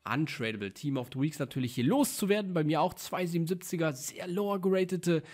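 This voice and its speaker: A young man with a faintly sick voice hosts a gaming video from his desk. His pitch sits a little above most men's, and he talks in a chatty, upbeat way, full of small fillers. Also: fast talker, a little mellow. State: slightly sick voice. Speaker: gaming YouTuber. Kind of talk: casual gameplay commentary. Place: indoor room at a desk.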